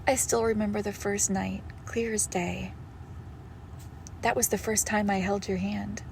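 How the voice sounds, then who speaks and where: A woman's voice: mid-range voice, vocal fry, slight background noise. A woman playing a possessive young female shadow monster posing as a doting girlfriend in an intimate horror audio roleplay, speaking tenderly and menacingly, often slipping toward a whisper. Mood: tender, ominous.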